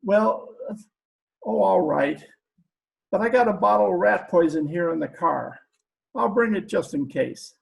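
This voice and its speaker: A man's voice: high-pitched, animated, measured pace, vocal fry, heavily acted, rough recording. A man playing a dim, lovestruck, trigger-happy gangster with slangy, uneducated talk in a 1940s-style radio comedy. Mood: gruff. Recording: home video-call recording.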